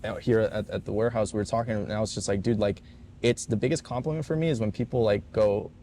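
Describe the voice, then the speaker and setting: A man's voice: lowish voice, fast, dark tone, a little hiss or hum. A man co-hosting a podcast in a warehouse, chatting casually in a rambling way, full of fillers and swearing. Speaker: podcast co-host. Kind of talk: casual chat.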